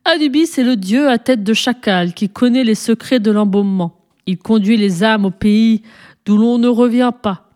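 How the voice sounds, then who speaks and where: An ancient, imperious pharaoh played by a woman reader holds forth from a storybook. The delivery is pompous and solemn, declaimed with majesty in a mellow tone. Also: fairly high pitch, normal speed, natural voice. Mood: solemn, lecturing. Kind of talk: storybook reading, character dialogue.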